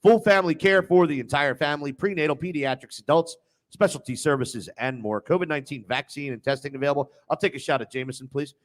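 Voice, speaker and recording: A man's voice: a little high, fast. A man hosting a casual sports radio show. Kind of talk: casual sports talk with live ad reads.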